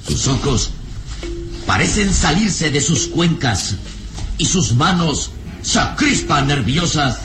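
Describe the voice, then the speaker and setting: A man's voice: on the high side, expressive, slow pace, over music. A man narrating a radio serial in a dramatic, scripted style.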